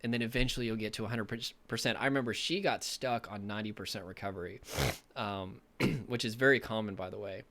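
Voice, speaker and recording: A young man speaking as a recovery coach, hoarse and losing his voice from illness, talking in an earnest, rambling way with many fillers. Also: lowish voice, brisk, fairly bright tone. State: losing his voice, a bit sick. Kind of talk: casual direct-to-camera talk.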